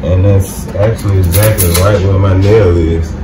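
A man's voice: low voice, steady tone, moderate pace. A man in his thirties hosting a casual DIY video, informally talking through each step as he works in a noisy home room. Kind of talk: casual hands-on product demo and tutorial. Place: small home game room, indoors.

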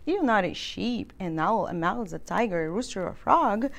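A middle-aged woman reading a children's picture book aloud, expressive and deliberate, as if for small children. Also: mid-range voice, creaky. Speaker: children's librarian, storytime reader. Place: library room with bookshelves.

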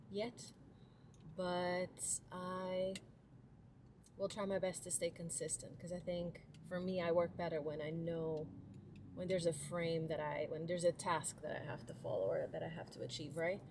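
A woman's voice: fairly low pitch, level tone, unhurried, darkish tone. A young woman from Portugal talking casually to a dashboard camera while driving, thinking aloud with fillers. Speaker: vlogger, dancer. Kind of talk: casual vlog talk to camera. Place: inside a car. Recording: noisy car interior.

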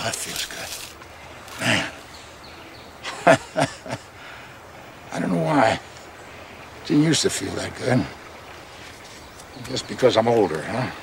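A middle-aged cowboy mentor in a western drama, folksy and easygoing. The voice has a gravelly creak at an ordinary male pitch. It is recorded outdoors with a little noise behind it. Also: heavily acted. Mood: relaxed, genial. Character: easygoing seasoned old outlaw mentor, cowboy. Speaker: actor in a western series. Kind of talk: screen acting, casual western dialogue. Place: outdoors by a river.

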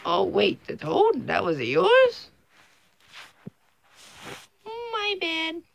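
A cheerful, bumbling cartoon plumber played by an amateur puppeteer in a toy show. He is exclamatory and whooping, with wildly animated pitch and some creak. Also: drawn-out words, a little hiss or hum, exaggerated voice. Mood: apologetic.

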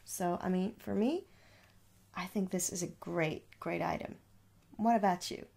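A woman speaks softly in an ASMR sales roleplay, with plenty of fry in her voice. She takes her time and gently repeats words, sounding reassuring on a slightly low pitch. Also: a little slow. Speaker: ASMR performer playing a shop assistant. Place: small room indoors.

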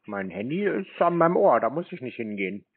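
A man with a husky voice in a prank phone conversation, answering in a deadpan, naive manner. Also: medium pitch, brisk, dry recording. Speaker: prankster answering scam calls. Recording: tinny, dry phone-band sound.

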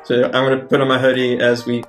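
A man's voice: medium pitch, monotone, fast. A man playing a tabletop roleplaying game, chatting casually and playfully as he says what his character does. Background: faint music.

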